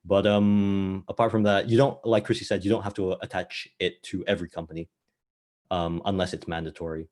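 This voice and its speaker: Man, grew up in the Middle East, fairly low voice, relaxed, hesitant, panel advice. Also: fairly quick. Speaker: panel speaker, hiring interviewer. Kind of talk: casual advice talk.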